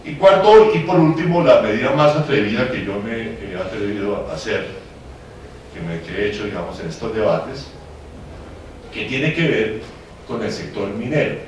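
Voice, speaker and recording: A man speaking as a panelist at a policy forum, pausing often with fillers and restarts. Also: on the low side, animated, a little hiss or hum. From Venezuela. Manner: hesitant, many fillers and restarts. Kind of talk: public policy talk. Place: conference hall. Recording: very echoey hall, distant mic.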